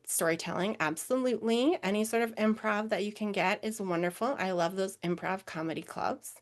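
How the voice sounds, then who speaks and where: A woman with a Minnesotan accent presenting a webinar over a video call, answering questions in a chatty way with many fillers. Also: mid-range voice. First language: English.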